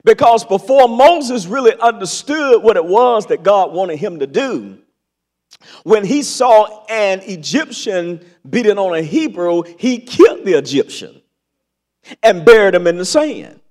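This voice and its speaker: High voiced man preaching slow, dramatic pauses, big echoey sanctuary. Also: big pitch swings, darkish tone. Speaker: pastor. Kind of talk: sermon. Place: large church sanctuary.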